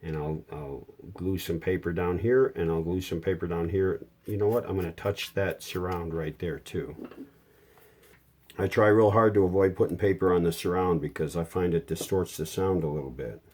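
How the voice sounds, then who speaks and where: A deep, mellow-voiced man explaining hands-on work. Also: normal speed. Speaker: radio repair hobbyist giving a tutorial. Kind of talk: casual hands-on how-to narration.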